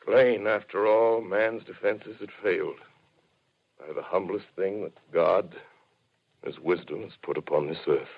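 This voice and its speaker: An actor playing a solemn, reflective scholar who survived an invasion, in grave theatrical phrasing for a 1930s radio drama. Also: male voice, deep voice, measured pace, creaky, breathy edge, full character voice. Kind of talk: 1930s radio drama monologue, literary narration. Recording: muffled old 1930s radio broadcast.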